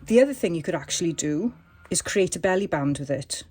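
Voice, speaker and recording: Chatty woman with a slightly croaky voice. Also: lowish voice, lively intonation, fairly quick, a little mellow. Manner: chatty, thinks aloud while working. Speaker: crafting tutorial host. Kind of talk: casual craft demonstration.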